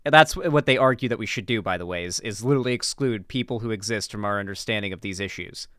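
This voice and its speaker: A man's voice: on the low side, fast talker, fairly bright tone, clear, resonant tone, dry recording. Clean, dry-voiced man riffing casually, swearing freely. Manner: informal, profane, emphatic. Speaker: political commentary streamer. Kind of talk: casual reaction commentary, unscripted.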